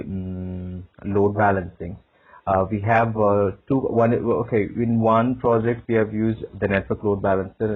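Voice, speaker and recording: A man answering as a candidate in a phone job interview, hesitant and often repeating his words. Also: on the low side. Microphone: phone call. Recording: narrowband tinny phone line.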